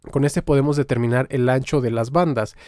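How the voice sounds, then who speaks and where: A man giving an informal, explanatory software tutorial, pausing now and then as he demonstrates. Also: medium pitch, dry recording, studio quality. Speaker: music software tutorial instructor.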